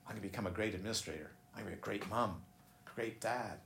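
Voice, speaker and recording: A man's voice: on the low side, fast, vocal fry, fairly bright tone. A man teaching meditation in a casual, rambling way, often breaking off and putting questions to his listeners. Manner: rambling, self-interrupting, asks listeners questions. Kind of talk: dharma talk. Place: busy room. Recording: echoey room, faint ambient noise.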